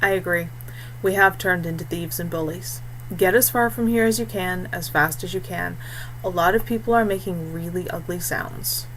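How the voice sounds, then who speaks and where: A woman's voice: fairly low pitch, moderate pace, a bit bright, a little hiss or hum, poor audio. A woman voicing a disillusioned male fort sentry in an audiobook, murmuring in a grim, confiding way.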